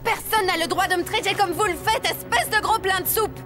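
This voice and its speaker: A woman's voice: very high voice, a little fast. A woman voicing a spirited, defiant young ballerina in a French cartoon, outspoken, with a French accent. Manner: feisty, outspoken. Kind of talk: animated cartoon acting. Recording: loud music behind.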